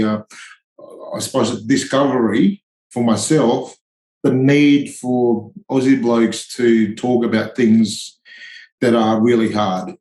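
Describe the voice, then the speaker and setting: A man's voice: mid-range voice, a little mellow, reverberant, rough recording. A man in his forties from Tonga, a tradie and charity founder, speaking hesitantly in a podcast interview with many ums and restarts.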